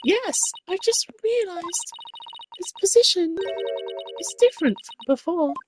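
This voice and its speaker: A man voicing a soft, hesitant young female defendant in a courtroom game. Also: extremely high pitch, animated, a little slow, pitched above the performer's own voice, rough recording. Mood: timid. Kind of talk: dramatic visual novel courtroom reading. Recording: game music behind the voice.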